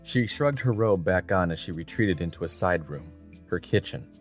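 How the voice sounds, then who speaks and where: A man with a very low voice narrates a dramatic audiobook. Faint music plays behind his scripted storytelling. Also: animated, normal speed.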